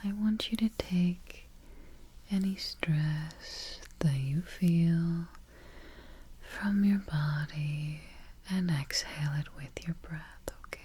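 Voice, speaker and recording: A woman whispering softly and breathily in a gentle, soothing manner, guiding a sleep relaxation as an ASMR host up close to the microphone. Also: fairly low pitch, breathy edge. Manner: slow, gentle, soothing, repeats soft check-ins.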